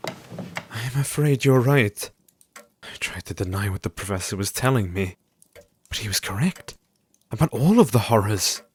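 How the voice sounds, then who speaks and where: A man acting in an audio drama as a gentle, troubled Victorian doctor with courteous, formal speech. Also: on the low side, animated, moderate pace, long pauses, a little hiss or hum, close to an everyday voice.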